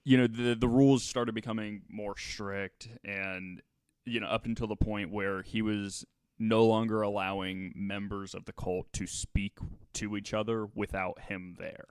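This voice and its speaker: A man hosting a podcast, telling a story casually and haltingly, with conversational asides. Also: fairly low pitch, little pitch movement, clear, resonant tone, no echo, clean studio sound.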